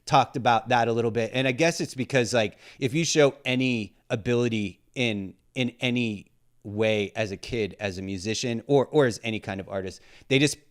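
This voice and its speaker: A man's voice: medium pitch, moderate pace, clean tone. Rambling, swearing male podcast host. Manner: rambling, swearing, lots of fillers. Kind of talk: casual chat.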